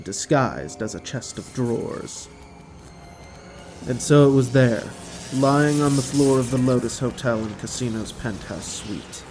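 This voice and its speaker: Male narrator for an audio drama: mid-pitched, dramatic scripted storytelling, soft music bed.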